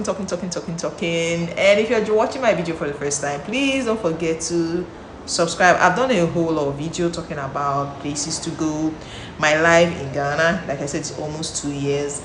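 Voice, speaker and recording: A young woman with a Nigerian accent, chatting to camera in a vlog, talking freely with lots of restarts and repeated words. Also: low-pitched. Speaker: vlogger. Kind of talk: casual talk to camera. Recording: echoey room, faint background noise.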